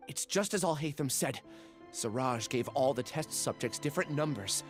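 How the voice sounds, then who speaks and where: An actor plays a young man in a video game, troubled and earnest. His pitch is average, and faint music sits behind him. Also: expressive, normal speed, close to an everyday voice. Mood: troubled recollection. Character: earnest, troubled young male test subject.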